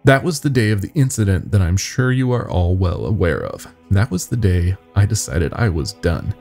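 A man voicing a nervous young company worker confessing secrets in a halting, grave horror-story telling. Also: sits low, expressive, vocal fry, darkish tone, soft background music, exaggerated voice. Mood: uneasy.

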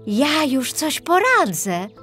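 A woman puts on a sweet young fairy-tale girl. The voice is lifted high and lilting, earnest and curious. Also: sing-song, slow, fairly bright tone, faint music behind, heavily acted. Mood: helpful. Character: kind little sisters, curious and helpful girls. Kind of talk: acted storybook dialogue.

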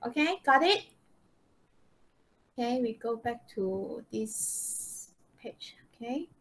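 A woman's voice: on the high side, expressive, slow pace, a bit bright, slight background noise. A woman with a Malaysian accent teaching a live online lesson, often checking in with okay and right. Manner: frequent checking questions, tag words like okay and right. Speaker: English teacher. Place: indoors, online video call.

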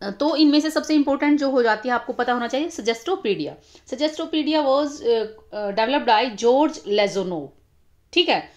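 A woman's voice: sits high, lively intonation, moderate pace. A young woman, explaining exam questions step by step, repeating key terms. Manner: explanatory, repeats key terms, mixes English titles into Hindi. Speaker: exam-prep teacher. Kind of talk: explaining exam questions over annotated screen.

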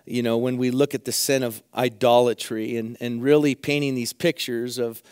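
A middle-aged man preaching a sermon from a church pulpit, his voice hoarse, earnestly repeating phrases for emphasis. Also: mid-range voice, level tone, normal speed, darkish tone, dry recording, studio quality. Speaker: pastor. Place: church sanctuary at a pulpit, indoors.

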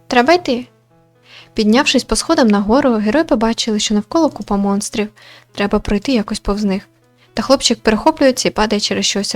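A woman reading a visual novel aloud as host, with expressive storytelling and casual commentary. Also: medium pitch. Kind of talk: narrated read-through with casual commentary. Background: game music.

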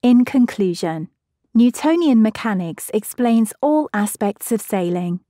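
A woman giving a measured, scripted narration for an educational physics video. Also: a little high, lively intonation, mellow, no room sound, studio quality.

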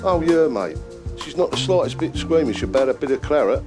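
A man's voice: a little high, animated, brisk, close to an everyday voice. An actor playing a cynical, needling London gang henchman in a video game, sardonic and matey. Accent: London English. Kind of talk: video game cutscene acting. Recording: music under the dialogue.

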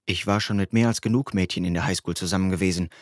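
A man's voice: low voice, fairly even intonation, fast, dry recording, studio quality. A man reading a teen novel as a scripted first-person audiobook narration.

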